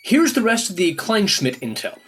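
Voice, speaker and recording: A man playing a brisk, fitness-obsessed section chief in an audio comedy, commanding and smugly upbeat. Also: high-pitched, huge pitch swings, crowd noise.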